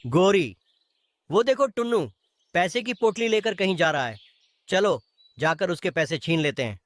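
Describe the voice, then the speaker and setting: Guy doing a wicked crow thief for a cartoon, high voice, jumpy and bossy, swoops around. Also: expressive, normal speed, dry recording. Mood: scheming. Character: wicked thieving male crow, bossy and excitable. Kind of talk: animated cartoon voice acting.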